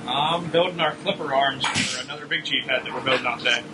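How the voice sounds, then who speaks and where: Quick-speaking man on a noisy shop floor. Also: medium pitch. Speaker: shop fabricator. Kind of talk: casual on-the-job chat. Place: large metal-roofed workshop. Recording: noisy workshop with clear background noise.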